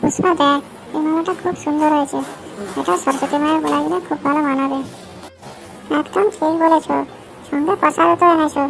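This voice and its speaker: Woman voicing a high-pitched cheeky cartoon schoolgirl. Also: steady tone, a little fast, gravelly, soft background music, low-quality recording. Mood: pleased.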